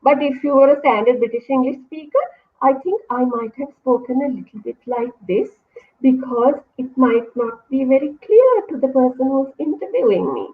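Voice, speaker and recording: A woman's voice: high voice, lively intonation, moderate pace. A woman with a Sri Lankan accent, a university English lecturer, explaining her views thoughtfully in an online interview. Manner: thoughtful, explanatory, self-correcting. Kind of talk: academic discussion in an online interview. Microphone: video call. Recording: phone-like online call audio.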